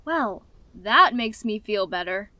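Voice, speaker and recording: A woman voicing a sardonic, guarded young dragon with a dry, sarcastic delivery for an animated fan dub. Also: medium pitch, takes it slowly, dry recording. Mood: sarcastic, challenging.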